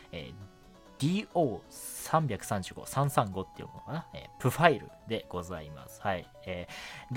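Animated male radio host. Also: lowish voice. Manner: filler-heavy, stumbling over words, self-correcting. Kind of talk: solo radio show segment, reading listener mail and chatting. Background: background music.

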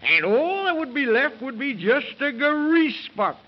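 A man playing an excitable, folksy, fretful companion in a 1930s children's radio fantasy. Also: very high voice, measured pace, full character voice. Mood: fretful excitement. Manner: exclamatory, folksy and fussy. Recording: muffled, noisy 1930s radio recording.

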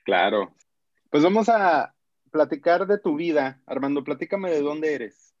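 A man's voice: a little high, expressive, unhurried. A man hosting a podcast, casually asking his guest short interview questions. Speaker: podcast host. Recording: dull lo-fi sound, music underneath.

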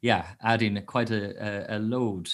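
A man hosting a singing podcast, introducing his guest in a casual, hesitant way full of "um"s. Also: fairly low pitch. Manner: hesitant, lots of "um", quick laughs. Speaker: podcast host.

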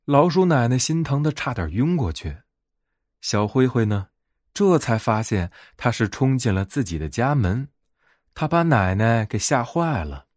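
A man narrating a bedtime fairy tale as a storyteller, in an expressive scripted read. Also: mid-range voice, a little slow, no room sound, studio quality.

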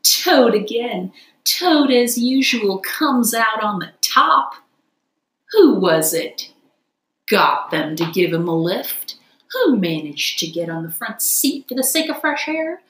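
A woman's voice: fairly high pitch, very animated, slow, fairly bright tone, heavily acted. Storybook toad, played by a woman: conceited, scheming, theatrical, with a roomy echo. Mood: gleeful, self-admiring. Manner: theatrical, self-admiring. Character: boastful, reckless toad posing as a meek washerwoman.

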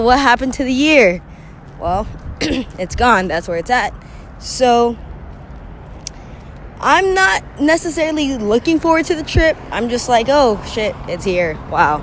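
A young woman talks through her solo podcast with a scratchy voice from a cold. She is chatty and candid, clearing her throat now and then. Faint traffic noise sits behind her. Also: fairly high pitch. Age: young adult. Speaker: podcast host. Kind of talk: casual solo podcast monologue.

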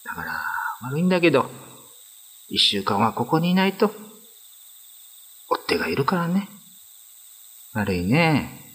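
A man's voice: mid-range voice, sing-song, a little slow, long pauses, a bit bright, breathy edge, full character voice. A man playing a kind, modest young male prison guard in a radio drama, with casual, boyish, reassuring speech. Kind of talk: Japanese radio drama acting.

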